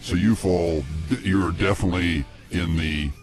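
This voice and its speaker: A man hosting a comedic talk show in a casual, jokey, halting way. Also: low voice, fairly even intonation, over music.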